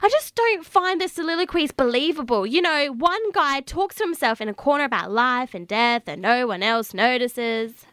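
A woman's voice: high voice, lively intonation, normal speed, few pauses, a bit bright, smooth, no echo, studio quality. A woman playing a naive, earnest young woman in an audio sitcom, with a dramatic manner. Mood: dismayed.

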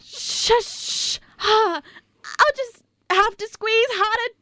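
Woman acting a high-pitched scheming, vain woman. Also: drawn-out words, pushed and bright, no echo, clean studio sound, exaggerated voice. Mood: frantic, menacing. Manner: theatrical, feigned innocence. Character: scheming, vain woman obsessed with perfume, ruthless. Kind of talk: comedy radio sketch acting.

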